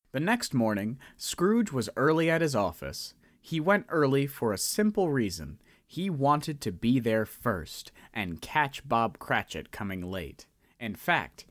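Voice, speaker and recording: A man narrating a radio drama in an expressive storytelling manner. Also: mid-range voice, no room sound, clean studio sound.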